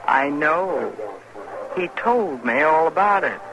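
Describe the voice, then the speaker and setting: Hard-bitten, lively-pitched frontier saloon woman. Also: slow, over music. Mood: coaxing, accusing. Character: hard-bitten, money-hungry saloon woman of Old West. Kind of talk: old-time radio western acting. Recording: noisy old radio broadcast recording.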